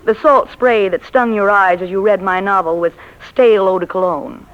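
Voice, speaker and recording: An actress playing a sly, teasing secretary in a 1940s radio comedy-drama. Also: female voice, mid-range voice, moderate pace, background noise, close to an everyday voice. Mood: cool, needling. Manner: wry, cool, teasing. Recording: muffled old 1940s radio recording.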